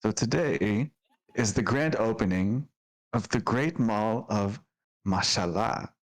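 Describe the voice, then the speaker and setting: A man's voice: fairly low pitch, slow, low-quality recording. A man acting as dungeon master, introducing the players and narrating the scene with upbeat energy. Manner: upbeat, laughing, descriptive narration.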